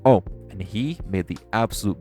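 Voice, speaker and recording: A man playing a smug, hungry, flirtatious vampire in an audio roleplay, speaking with teasing theatrical flair in a gravelly voice. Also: on the low side, normal speed, over music, close to an everyday voice. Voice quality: gravelly, creaky voice. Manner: teasing, theatrical, swearing, sighing. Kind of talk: audio roleplay monologue to the listener.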